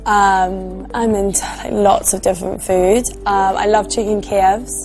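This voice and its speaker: A woman's voice: mid-range voice, flat delivery, a little slow, darkish tone, soft background music, natural voice, low-quality recording. An actress playing a friendly young woman on a casual date, giving brief, agreeable replies. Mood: friendly, interested. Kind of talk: acted casual date chat.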